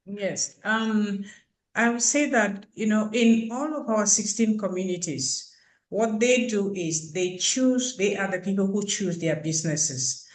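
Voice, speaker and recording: A woman explains things earnestly over a video call, as if telling a story. Her voice has a middling pitch. It rings in an echoey room. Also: unhurried. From Cameroon. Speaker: country program coordinator.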